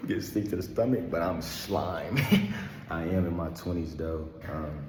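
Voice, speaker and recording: A man's voice: low voice, unhurried, roomy sound, in a large hall, background noise. A man of twenty talking casually to the camera in a laid-back, slangy, rambling way, with frequent ums and laughs. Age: 20s. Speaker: vlogger. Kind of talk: casual personal vlog monologue.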